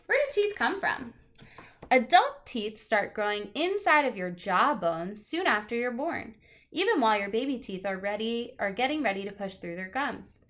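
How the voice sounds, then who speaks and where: A woman with a fairly high voice reads like a teacher sharing a picture book with children. Her delivery is expressive, leaning deliberately on key words, with casual remarks of her own mixed in. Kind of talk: read-aloud of a children's nonfiction picture book with casual asides.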